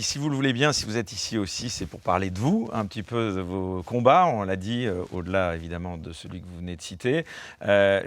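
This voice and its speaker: Fast-talking male interviewer. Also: on the low side, no echo. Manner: quick, conversational questioning. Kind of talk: interview questions.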